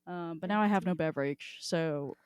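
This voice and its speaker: A woman's voice: lowish voice, normal speed, a little mellow, smooth, dry recording. A woman hosting a casual podcast chat, talking in an irreverent, informal, sweary way. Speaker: podcast host.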